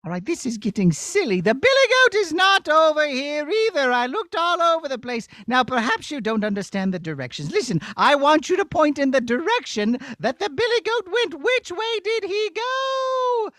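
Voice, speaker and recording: Young princess, high-pitched, animated, prim, exclaiming, puppet-show style, dry sound. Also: male voice, normal speed, hardly any pauses, no echo, full character voice. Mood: flustered, exasperated. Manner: exclaiming, sing-song calling, prim. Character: chatty, fussy, easily flustered young princess. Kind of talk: children's puppet show acting.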